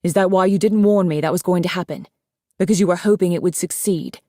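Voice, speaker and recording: A woman's voice: fairly low pitch, normal speed, darkish tone, no room sound, studio quality, close to an everyday voice. A woman voicing a young male dragon in an audiobook, arrogant, brusque and sarcastic, with a defensive hot temper. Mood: sarcastic, wounded.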